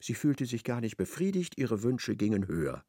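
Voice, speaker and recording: A man's voice: medium pitch, very animated, normal speed, warm tone, husky, dry recording, studio quality. A man narrating a literary radio drama. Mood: measured.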